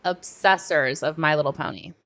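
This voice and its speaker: An American woman co-hosting a casual podcast, chatting in an animated, informal way with occasional swearing. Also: low-pitched, moderate pace. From United States. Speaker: podcast co-host.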